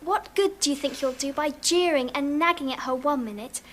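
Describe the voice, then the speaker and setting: A sensible schoolgirl elder sister in a radio drama. Her voice is very high and bright, earnest and reproving, with faint noise behind it. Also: moderate pace.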